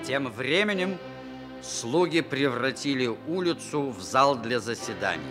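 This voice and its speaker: A man's voice: medium pitch, lively intonation, unhurried. A man narrating a radio play in a theatrical, wry storytelling style. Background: music.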